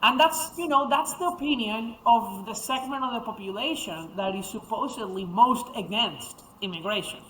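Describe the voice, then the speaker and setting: A young speaker from Venezuela, a native Spanish speaker, talks as an interview guest and tells stories quickly and animatedly, with many fillers. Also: mid-range voice, sharp tone, a little hiss or hum. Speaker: guest, founder of a speakers bureau. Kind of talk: interview conversation. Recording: echoey room.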